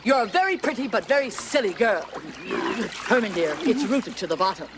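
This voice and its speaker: Mother toad, domineering, scornful, mock-sweet, animated high voice, theatrical fairy-tale soundtrack. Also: fairly quick, noisy background. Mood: bossy, scornful. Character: bossy, scornful mother toad scheming her son's wedding.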